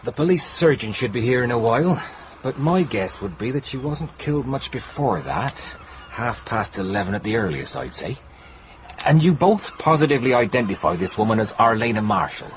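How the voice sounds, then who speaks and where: Actor as police inspector, expressive delivery. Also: male voice, mid-range voice, slight background noise, close to an everyday voice. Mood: businesslike. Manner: deliberate, matter-of-fact. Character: businesslike, methodical English police inspector. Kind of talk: radio drama acting.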